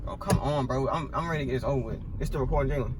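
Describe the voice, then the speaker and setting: A man's voice: mid-range voice, racing. A young man vlogging pranks from a car, chatting casually in slangy, jokey, sometimes profane speech. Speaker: prank vlogger ordering at drive-thrus. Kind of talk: casual chat. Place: inside a car. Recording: noisy car with traffic ambience.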